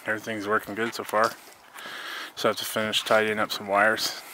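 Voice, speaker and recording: A man's voice: fairly low pitch, monotone, fairly quick, background noise. An American man giving an informal, laid-back walk-through for a casual vlog, recorded handheld outdoors. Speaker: vlogger, mobile welder.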